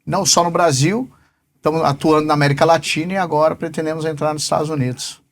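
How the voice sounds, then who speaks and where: A man in his forties, a business director being interviewed, answering in an unhurried way and pausing often on fillers. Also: on the high side, fairly even intonation. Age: 40s. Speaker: podcast guest, company sales director.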